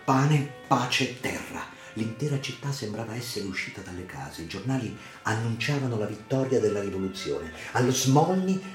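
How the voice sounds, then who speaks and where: A man narrates a radio drama as literary reportage, in a measured, theatrical, solemn delivery with deliberate pauses. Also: lowish voice, lively intonation. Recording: reverberant voice over music. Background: music.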